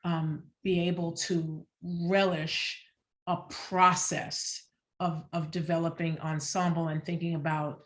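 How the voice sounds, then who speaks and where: Female theater director on a panel, lower register, thoughtful, feeling her way through each phrase. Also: flat delivery. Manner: halting, searching, thoughtful phrasing. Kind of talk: reflective panel discussion.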